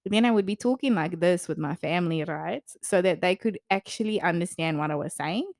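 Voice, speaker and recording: A woman's voice: lowish voice, fairly quick, a little mellow, no echo, clean studio sound. A young woman who grew up in South Africa and lives in Australia, with an Australian accent that has South African traces, co-hosting a casual podcast chat and telling stories. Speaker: podcast co-host.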